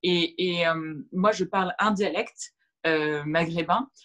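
A woman's voice: fairly low pitch, slow, a bit bright. A woman in her thirties whose first language is Arabic, a guest on a video-call interview, speaking reflectively with many fillers and restarts. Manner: gestures while talking. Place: room at home with shelves. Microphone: video call webcam.

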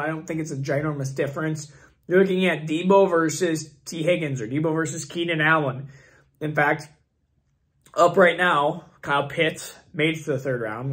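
A young man talks in a casual, rambling way, stopping often on fillers as he thinks aloud. His voice is hoarse and worn out, and it sits a little high for a man. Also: fairly bright tone. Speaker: fantasy football channel host. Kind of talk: casual screen-share commentary.

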